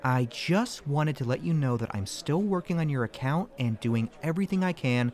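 A man's voice: a little high, animated, moderate pace, a little mellow, lightly acted. A man playing a cocky, argumentative call-center worker in an audio comedy. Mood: polite, patronizing. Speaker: call center customer service agent. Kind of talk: audio fiction comedy acting.

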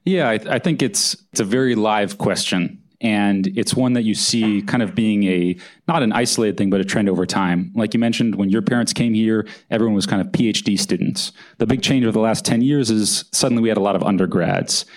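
A man, an author and journalist, answering questions thoughtfully at a live on-stage interview. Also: on the low side. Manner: thoughtful, measured, hedging with "kind of". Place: event hall with live audience.

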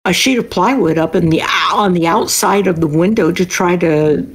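A woman's voice: fairly low pitch, moderate pace, husky. An older woman with an American accent, chatting casually as a guest on an interview show. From United States. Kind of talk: casual chat.

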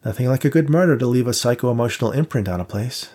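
A man voicing a confident young woman ghost hunter, brisk and matter-of-fact with a dry wit. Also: medium pitch, a little mellow, lightly acted.

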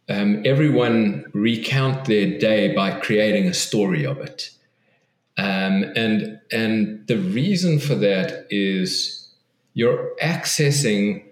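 Interview guest: a lower-voiced man, earnest and reflective, who restarts his sentences, recorded in an echoey room. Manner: thoughtful, earnest, frequent restarts and repetitions. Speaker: guest author. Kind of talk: podcast interview.

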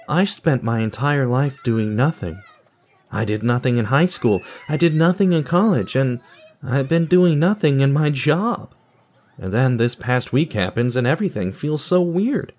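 A man's voice: mid-range voice, animated, measured pace, a little mellow. A man playing an awkward, apologetic, burnt-out office worker in an audio drama, speaking hesitantly and earnestly. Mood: awkward, glum.

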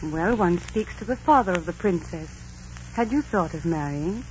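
An actress playing a gentle young Aztec princess, formal and earnest, in a 1940s radio romance. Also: female voice, on the low side, animated, moderate pace, natural voice. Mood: gracious, earnest. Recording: dull, hissy old radio recording.

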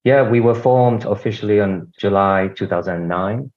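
A middle-aged man from Singapore, talking as a podcast guest with a very low voice. He explains at length and restarts phrases, dropping in "you know" as he goes. The recording sounds muffled and lo-fi. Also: normal speed, a little mellow. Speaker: guest, healthcare executive. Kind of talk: conversational interview answers. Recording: dull lo-fi audio.

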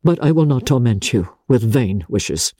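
A woman voicing a compassionate, formal gentleman in a classic novel reading, grave and restrained, with halting phrases. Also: a bass voice, lively intonation, no echo, clean studio sound.

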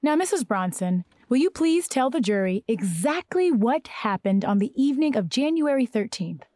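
A woman's voice: a little high, lively intonation, normal speed, darkish tone, no room sound, lightly acted. An actress in a 1940s radio drama playing a female district attorney who questions a witness with pointed persistence. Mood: questioning.

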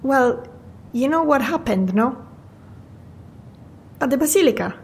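A high-pitched Italian waitress, chatty yet fatalistic. Her English is accented and wistful, with natural audio drama delivery. A faint rumbling noise sits behind her. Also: expressive, measured pace, vocal fry, exaggerated voice. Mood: hushed, wistful. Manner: wistful, non-native English phrasing. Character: friendly, chatty, fatalistic Venetian café waitress.

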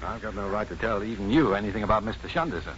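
Low-voiced, wry doctor in vintage radio drama played by a man. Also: very fast, fairly bright tone, close to an everyday voice. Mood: calm, evasive. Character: assured, wry, kindly male physician and professor. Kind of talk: 1950s radio drama acting. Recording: muffled, faintly noisy 1950s radio broadcast.

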